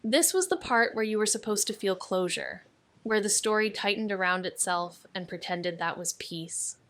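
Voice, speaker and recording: A woman's voice: medium pitch, moderate pace, a bit bright, close to an everyday voice. Actress as watchful, wry young woman sleuth. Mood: wry, restrained. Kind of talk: scripted noir narration and dialogue.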